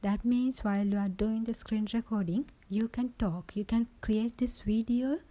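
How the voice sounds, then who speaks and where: A woman narrates an informal instructional screen recording into her phone's built-in mic. Her voice sits on the high side and has a very mellow, relaxed tone. She explains things one step at a time, often tagging a point with a short check that the listener follows. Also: moderate pace. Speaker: tutorial presenter. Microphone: built-in phone microphone.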